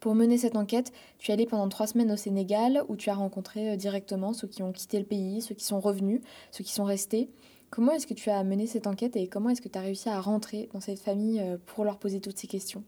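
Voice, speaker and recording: A woman journalist hosting a podcast, reading a scripted introduction and asking questions in a measured, deliberate way. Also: medium pitch, fairly even intonation, mellow.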